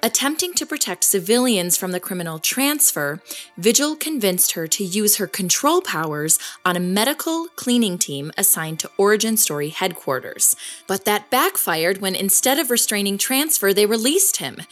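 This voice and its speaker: An expressive mid-pitched podcast host played by a woman, earnest and investigative. She narrates in scripted documentary style with lively intonation over light music. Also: normal speed, natural voice. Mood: grave.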